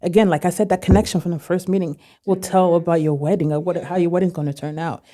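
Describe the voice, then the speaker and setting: A woman's voice: fairly low pitch, steady tone, fast talker, warm tone. A woman who plans weddings, talking in a casual podcast chat, emphatic and repeating her points. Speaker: wedding planner guest.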